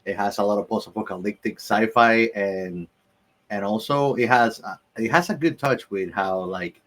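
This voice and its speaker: A man's voice: lowish voice, moderate pace, a bit bright. A man co-hosting a podcast, chatting casually and enthusiastically, repeating words for emphasis. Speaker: podcast co-host. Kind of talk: casual chat, enthusiastic commentary.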